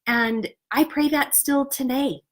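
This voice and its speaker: A woman's voice: high-pitched, takes it slowly. A woman giving casual motivational spiritual coaching to an online group. She talks outdoors, sounds stuffed up and slightly nasal, and speaks earnestly and encouragingly with frequent "you know" and "right?".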